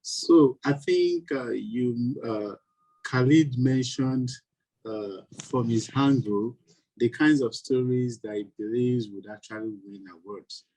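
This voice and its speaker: A man's voice: medium pitch, takes it slowly, dark tone, rough recording. A man moderating a webinar panel, asking questions hesitantly with many fillers.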